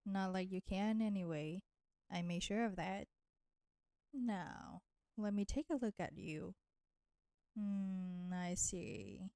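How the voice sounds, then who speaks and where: Casting: woman as flirty female vampire for intimate roleplay audio, teasing and nurturing, creaky vocal fry, very dry sound. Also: mid-range voice, expressive, mellow, dry recording. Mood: teasing menace. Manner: slow, teasing, coaxing, addressing listener with pet names.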